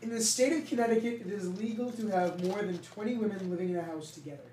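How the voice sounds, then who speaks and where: A man's voice: sits high, fast talker, dark tone. Young man with a Boston accent, off-mic. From Massachusetts. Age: young adult. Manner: rambling, swears freely. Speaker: podcast co-host. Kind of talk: casual chat. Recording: roomy, distant from the mic, faint ambient noise.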